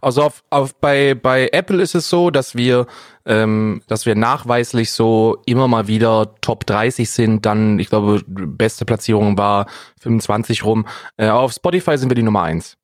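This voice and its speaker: A man's voice: lowish voice, moderate pace, no echo, clean studio sound. A man co-hosting a casual comedic podcast, speaking informally and often rephrasing himself. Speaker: podcast co-host.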